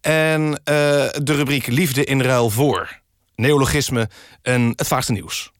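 A man hosting a satirical radio magazine in a deadpan, mock-serious way. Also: mid-range voice, takes it slowly, soft background music. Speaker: radio host. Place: dry radio studio.

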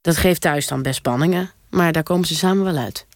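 A woman playing a chatty, obliviously earnest interviewee in a deadpan radio comedy, rambling in a hesitant, matter-of-fact way. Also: deep voice, brisk, no room sound, clean studio sound. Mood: earnest, unbothered.

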